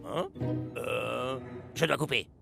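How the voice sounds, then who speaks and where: A man's voice: medium pitch, slow pace, gravelly, over loud music. A man playing a sly, cocky young rival in a cartoon dub, with a mocking, drawling delivery. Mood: smug, sly. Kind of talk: animated series dub acting.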